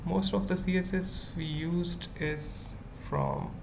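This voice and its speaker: A tutorial presenter thinking aloud in halting, murmured fragments during an informal coding screencast. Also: medium pitch, fairly even intonation, gravelly, background noise. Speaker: web development tutorial presenter.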